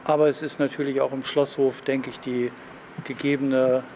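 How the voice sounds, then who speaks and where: Gravelly middle-aged man, measured phrases. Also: mid-range voice, flat delivery, a little mellow, slight background noise. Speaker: concert organiser interviewee. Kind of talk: interview statement. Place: outdoor yard by street.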